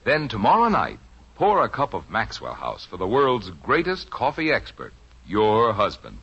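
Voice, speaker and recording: Husky, very animated American ad man. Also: lowish voice, moderate pace. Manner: persuasive. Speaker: commercial announcer. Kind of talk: scripted 1950s radio advertising pitch. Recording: muffled old radio recording.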